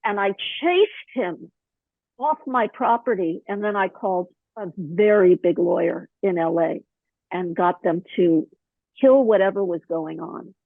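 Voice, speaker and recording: Slightly hoarse woman on a phone line. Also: lowish voice, unhurried, no room sound. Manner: chatty, animated storytelling with many fillers. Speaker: podcast guest, author and actor. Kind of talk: casual interview chat. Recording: dry, thin phone-line sound.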